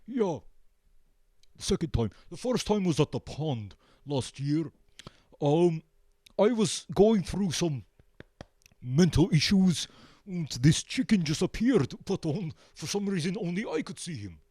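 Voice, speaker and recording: A man giving a comic interview as a band guest, with a deadpan, halting delivery. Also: a little high, no echo, clean studio sound. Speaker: band member, show guest.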